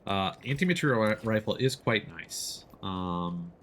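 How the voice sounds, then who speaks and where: Male streamer, casual live gameplay talk, excitable, swinging pitch, faint game noise behind. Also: a little slow. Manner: excitable, exclaims when surprised. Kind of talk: live gaming commentary, casual chat with viewers.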